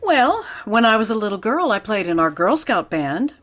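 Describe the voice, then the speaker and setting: A woman playing a wry, quick-witted schoolteacher with dry wisecracks in old-time radio comedy style. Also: medium pitch, sing-song, a bit bright. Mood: wry, reluctant. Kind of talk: 1940s radio comedy acting.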